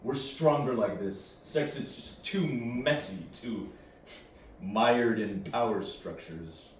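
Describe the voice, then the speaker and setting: An actor playing an aloof, coldly superior post-human warrior in a sci-fi audio drama, speaking in deliberate, halting, solemn phrases. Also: male voice, medium pitch, close to an everyday voice. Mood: scornful, intense. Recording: very echoey, distant, faint noise.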